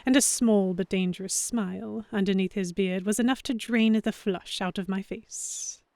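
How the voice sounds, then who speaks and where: A woman playing a young, flustered heroine who narrates a pirate romance in the first person, with expressive dramatic delivery. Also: mid-range voice, normal speed, dry recording, close to an everyday voice. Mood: uneasy.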